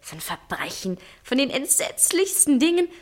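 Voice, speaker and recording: Vain, dreamy young daughter for a 1960s radio play: high voice, literary phrasing, cold-hearted longing for luxury. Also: female voice, very animated, normal speed. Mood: dreamily musing. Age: young adult.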